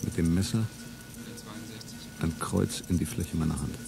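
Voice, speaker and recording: Deep-voiced, defiant rebel. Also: male voice, measured pace, vocal fry, heavily acted. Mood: defiant, bitter. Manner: grave, declamatory. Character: defiant rebel man, grim, declaring war on slaveholders. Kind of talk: solemn declamatory German radio play monologue. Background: music.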